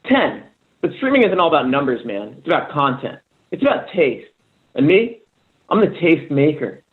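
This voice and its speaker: Man as young game streamer, cocky, slangy, boastful, higher male pitch, thin call-like audio, table read. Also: sing-song, moderate pace, narrow, tinny audio.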